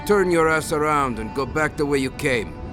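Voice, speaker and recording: Video game gatekeeper played by a man: stern, officious, rule-bound, slightly high voice, music underneath. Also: animated, moderate pace, natural voice. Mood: stern, unyielding. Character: gruff, rigid, rule-quoting gate guard. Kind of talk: video game character acting.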